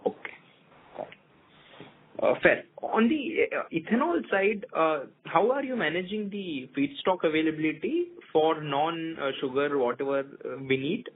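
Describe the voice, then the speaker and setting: A man's voice: on the high side, expressive, unhurried. A male analyst phoning into an earnings call, putting questions politely and a little hesitantly. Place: phone call into conference. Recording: narrowband phone line, faint noise.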